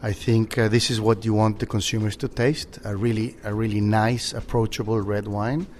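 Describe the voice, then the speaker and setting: A man from Chile, a winery general manager, talking casually about wine with many halting restarts. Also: lowish voice, level tone, a little mellow, a little hiss or hum. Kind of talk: casual conversation.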